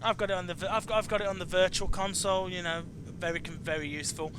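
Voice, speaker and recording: A man's voice: high-pitched, steady tone, fast, background noise. A man hosting a casual chat about video games, speaking hesitantly and often repeating and restarting his phrases. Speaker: chat show host interviewing a guest.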